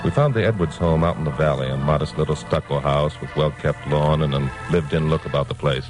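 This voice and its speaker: A deep-voiced American radio sleuth, wry and cocky. He talks in breezy hard-boiled slang, like an old detective serial, with music running clearly behind him. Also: fairly quick, low-quality recording. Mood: breezy, reassuring.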